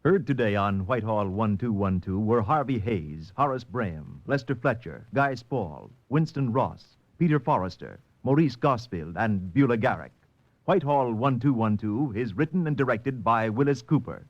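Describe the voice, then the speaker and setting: A man announcing on 1950s network radio in an earnest, deliberate way. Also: fairly low pitch, animated, no room sound. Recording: muffled old radio broadcast.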